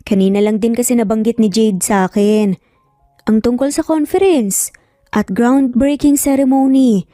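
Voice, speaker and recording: A woman voicing a calm, affectionate but firm male boss and father in a dramatised story. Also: fairly high pitch, no echo, studio quality, lightly acted. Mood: matter-of-fact.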